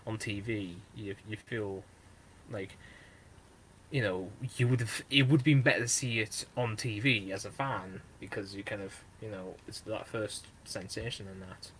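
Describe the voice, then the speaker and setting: A man's voice: lowish voice, normal speed, fairly bright tone, a little hiss or hum, poor audio. A man with a Northern English accent, hosting a casual podcast and rambling with lots of fillers and restarts. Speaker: podcast host.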